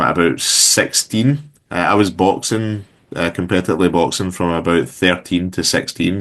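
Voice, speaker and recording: A man in his thirties with a Glaswegian Scottish accent talks as a guest on an interview. His voice sits very low. He rambles easily and fills his pauses with ums and uhs. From Scotland. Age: 30s. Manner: relaxed, rambling with many fillers. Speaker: guest entrepreneur. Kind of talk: casual interview chat.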